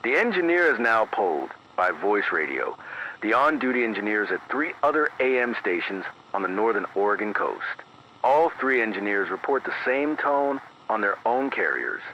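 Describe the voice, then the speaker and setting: A man playing a grave, careful late-night emergency announcer, reading a formal scripted bulletin with measured, precise delivery through an AM radio filter. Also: lowish voice, sing-song. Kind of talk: scripted analog horror emergency news bulletin. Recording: dull band-limited AM radio, faint noise.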